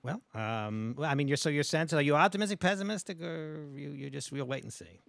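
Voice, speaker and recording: A man's voice: a little high, lively intonation, fast talker, vocal fry, fairly bright tone, dry recording. A man in his fifties chatting casually as a podcast co-host, dry and hesitant, often correcting himself, on a close studio mic. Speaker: podcast co-host, stand-up comedian. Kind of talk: casual chat. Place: small indoor podcast studio. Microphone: close studio mic on arm with headphones.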